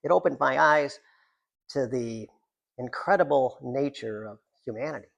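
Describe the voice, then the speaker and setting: A man's voice: medium pitch, moderate pace, creaky, fairly bright tone. A man, a writer, reading his own work aloud at a live literary reading, with rambling, self-deprecating, jokey asides.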